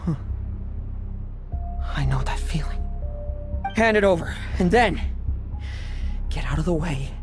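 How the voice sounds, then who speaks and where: Young game knight, cocky, wry, lively swooping pitch, theatrical, music behind. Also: male voice, slow pace, pauses often. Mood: taunting, grave. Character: cocky young male knight vice captain, wry and dutiful. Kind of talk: dramatic video game voice acting. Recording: loud game music underneath.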